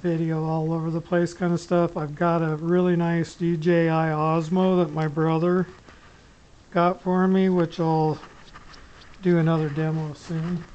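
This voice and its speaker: A middle-aged hobbyist giving a casual, unscripted gear demonstration, rambling and often pausing mid-sentence. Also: mid-range voice, flat delivery, a little mellow, highs cut off. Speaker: amateur radio hobbyist giving a gear demo. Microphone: small camera pinned to t-shirt. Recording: noisy clip-on camera sound with clicks.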